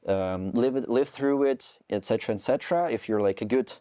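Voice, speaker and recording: A man's voice: medium pitch, brisk, mellow, clean tone, no echo, clean studio sound. A Ukrainian soldier in his twenties speaking English with a Ukrainian accent, rambling with lots of "like" and restarts as an interview guest. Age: 20s. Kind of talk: interview conversation.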